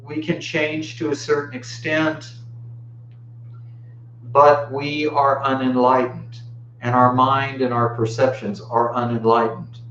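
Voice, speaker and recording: An American man of fifty-nine giving an informal dharma talk in a reflective, halting way with long pauses. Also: medium pitch, level tone, fairly bright tone. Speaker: Buddhist teacher giving a talk. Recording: muffled, roomy, faint background hum.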